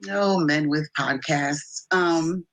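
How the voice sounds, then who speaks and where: A woman offers opinions as a panel commentator on television. She is conversational and informal, pausing and restarting as she goes. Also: low voice, a bit bright.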